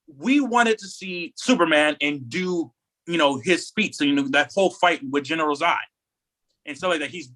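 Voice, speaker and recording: A man's voice: fairly high pitch, sharp tone. A man co-hosting a podcast, talking casually and giving opinions in a rambling, emphatic way, often repeating himself. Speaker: podcast co-host. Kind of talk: casual opinionated chat about anime news.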